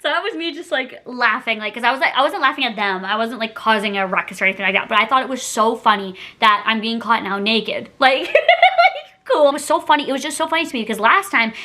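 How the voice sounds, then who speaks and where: A woman vlogger chatting informally to camera, telling a personal story in an animated, slangy way. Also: a little high, fast talker, a little hiss or hum. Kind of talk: casual storytime chat to camera.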